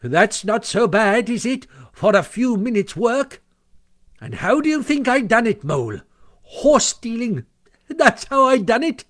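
A man voicing a boastful, excitable toad in a storybook, melodramatic, puffed-up and emphatic. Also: very high voice, normal speed, few pauses, pitched above the performer's own voice, full character voice. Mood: crowing. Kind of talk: storybook character voice.

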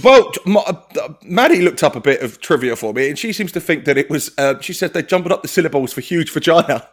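Lively sweary man chatting. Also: fairly high pitch, brisk. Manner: sweary, laughing, rambling with restarts. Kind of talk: casual comedy chat.

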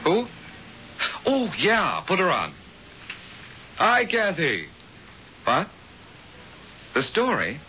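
A man's voice: high voice, huge pitch swings, drawn-out words, long pauses, pushed and bright, muffled recording, slight background noise, heavily acted. An actor playing a worried, impatient young male reporter in a radio drama. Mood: exasperated.

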